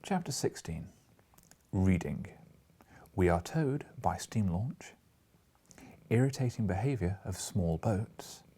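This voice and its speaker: A British man with a husky, gravelly voice, reading an audiobook and speaking informally in a gentle, unhurried manner. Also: low voice, animated. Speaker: audiobook reader and host. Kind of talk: audiobook narration with informal asides.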